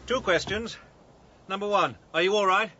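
An actor playing a testy, sardonic military gentleman in a radio drama, well-mannered with a sarcastic edge. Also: high voice, normal speed, lo-fi sound, a little hiss or hum. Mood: sarcastic, angry.

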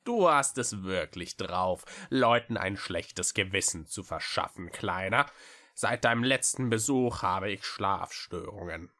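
A woman's voice: rumbling low, expressive, unhurried, fairly bright tone, heavily acted. A woman voicing a grumpy, weary witch-like creature in an audiobook, sardonic and grudging, with a sighing drawl. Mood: sardonic, put out. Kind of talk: audiobook character voice.

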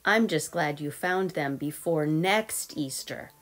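A woman voicing an excited, cheerful little girl bunny in a storybook, eager and exclaiming. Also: deep voice, slow pace, faint music behind. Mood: pleased.

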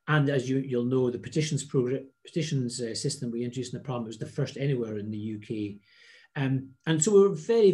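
Man, middle-aged, warm low-key tone, video call from an office, measured delivery with restarts. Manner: measured, restarts phrases often. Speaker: parliament presiding officer. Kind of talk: video-call interview answers. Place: office with blinds and a plant.

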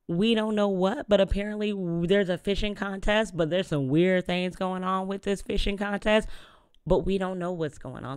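A young adult gaming streamer with a partly lost voice, chatting casually and reading game text aloud into a close boom mic in a small home room. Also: smooth, no room sound. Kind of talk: casual let's-play commentary. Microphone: boom mic on arm, close.

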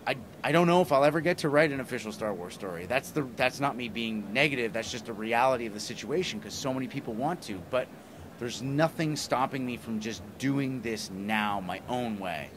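A man's voice: medium pitch, fairly quick. A middle-aged man talking enthusiastically and emphatically in a casual interview, rambling a little as he goes. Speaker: comic writer, podcaster and interview guest. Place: small plywood booth at a convention. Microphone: handheld microphone held by interviewer. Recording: clear background noise at convention.

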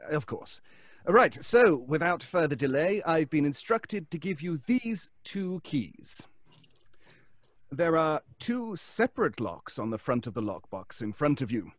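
Polite wordy lawyer type, upbeat and formal, super lively pitch, radio play. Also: male voice, measured pace, no echo, studio quality. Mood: formal, officious. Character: polite, fussy, upbeat male estate lawyer. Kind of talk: audio drama acting.

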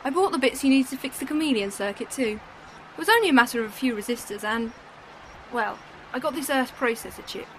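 An eager, chatty companion to a time traveller, as a woman plays her, with a high voice. Faint noise sits behind her in this fan-made radio play. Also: expressive, brisk. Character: bright, enthusiastic female time-travelling companion.

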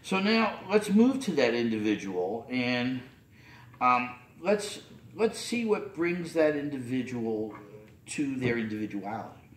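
A man's voice: mid-range voice, very animated, unhurried. A middle-aged man, a priest, giving a loose, unscripted sermon full of fillers and restarts from a pulpit in a small chapel. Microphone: camera at a distance. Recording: roomy, distant camera audio.

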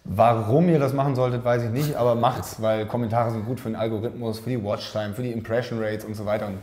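A young man hosting a relaxed chat in a living room, full of ironic, mock-grumpy asides. His voice is medium in pitch. The lapel mic picks up a roomy echo. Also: fast talker. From West Germany. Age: young adult. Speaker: host. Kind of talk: casual banter interview. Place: living room with photo wall, indoors.

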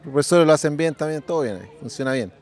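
Parent interviewee, male, forties, Chilean accent, rapid casual delivery, somewhat high pitch, handheld mic outdoors. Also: expressive, darkish tone. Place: outdoors at a busy swimming pool. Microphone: handheld interview microphone.

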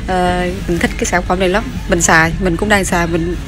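A woman in her thirties chatting casually as she walks a pharmacy aisle, talking into a phone camera held close and filling pauses with hesitations and restarts. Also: fairly low pitch, steady tone, music in the background. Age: 30s. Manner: chatty, many fillers and restarts. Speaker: shopping vlogger. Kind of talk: casual product walkthrough. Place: large pharmacy store, indoors.

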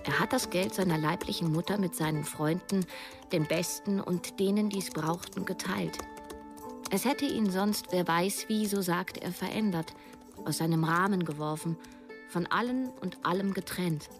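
A reflective writer in her thirties, played by a woman, tells her own story in a low voice. The delivery is measured and literary, as in a scripted radio drama, with music clearly behind it. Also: lightly acted. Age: 30s. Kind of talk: scripted first-person radio drama narration.

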